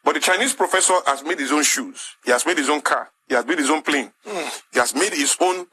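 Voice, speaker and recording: A man's voice: on the high side, brisk, pushed and bright, husky. A man with a Nigerian English accent making an impassioned talk-show argument, emphatic and rhetorical, repeating his key phrases. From southwest Nigeria.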